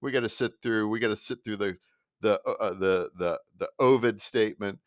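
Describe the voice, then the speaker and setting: A man's voice: lowish voice, brisk, dry recording, clean studio sound. A middle-aged man with a Midwestern American accent, hosting a solo podcast and ranting in a rambling, sarcastic way, often cutting himself off and restarting. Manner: rambling, animated, self-interrupting, sarcastic. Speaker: podcast host. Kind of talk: solo rant.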